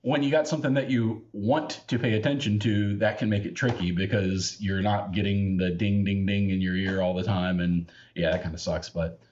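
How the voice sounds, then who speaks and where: A man's voice: fairly low pitch, fairly even intonation, normal speed, hardly any pauses, a little mellow, lo-fi sound. An American man hosting a podcast, chatting casually with lots of fillers and easy laughter. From United States. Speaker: podcast host.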